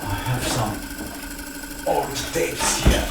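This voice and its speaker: A man repairing a machine at his workbench, quietly commenting to himself in short, muttered remarks. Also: medium pitch, very noisy. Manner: slow, muttered remarks to himself between tasks. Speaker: repair channel host.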